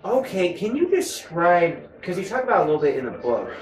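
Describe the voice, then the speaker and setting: A man's voice: high-pitched, sing-song, brisk. An American man in his thirties hosting an interview in a casual, chatty, informal way. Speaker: interviewer, host. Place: indoors, room with a weapons display wall. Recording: echoey room.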